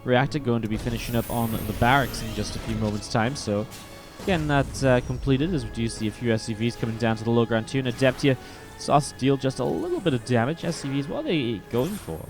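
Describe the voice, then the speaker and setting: A man commenting play by play on a strategy video game match, a little sniffly from a cold, steady and then more excited in the fights. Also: mid-range voice, brisk, few pauses, music in the background. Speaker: esports caster.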